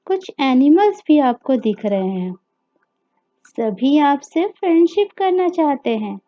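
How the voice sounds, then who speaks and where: A woman with an Indian accent narrating a guided meditation story for children, gently and with deliberate pauses, over music. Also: high voice. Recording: dull lo-fi audio with music behind.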